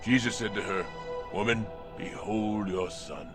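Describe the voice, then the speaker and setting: An actor playing a gentle, devout apostle saint in a children's audio drama, telling his story with reverent, measured delivery. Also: fairly low pitch, steady tone, music in the background. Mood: solemn, kindly.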